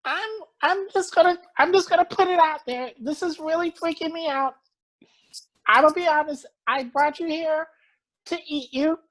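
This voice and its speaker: A man voicing an awkward, nervous witch who talks haltingly with lots of ums. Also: extremely high pitch, a bit bright, low-quality recording. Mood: uneasy.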